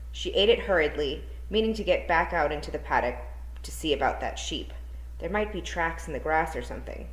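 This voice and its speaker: Woman teacher, low voice, expressive story reader, echoey room. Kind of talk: reading a children's novel aloud. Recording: roomy echo.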